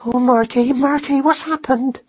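A man's voice: very high-pitched, fairly even intonation, a little slow, darkish tone, slightly rough, lo-fi sound, voice pushed up, full character voice. A man voicing an anxious, doting little old mother mouse in a storybook, with emotional wailing cries. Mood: fretful.

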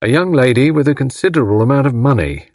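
A man voicing a solemn police inspector in an audiobook, speaking in a courteous, firm, measured and official way. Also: medium pitch, animated, darkish tone, dry recording, studio quality, voice pushed up, close to an everyday voice. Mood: firm, explanatory. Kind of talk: audiobook character acting.

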